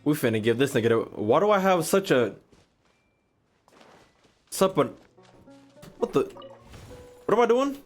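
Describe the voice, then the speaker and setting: A man's voice: fairly high pitch, big pitch swings, a little slow, long pauses. A young adult American man giving casual, joking, profane commentary as he streams a game. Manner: slangy, profane, joking, repeats lines back. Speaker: gaming streamer. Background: faint game music.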